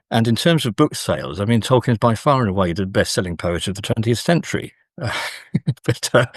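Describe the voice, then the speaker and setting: A man's voice: on the low side, dry recording, studio quality. A man talking as an academic guest, considered and hesitant, in a scholarly conversation. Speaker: academic author, interview guest.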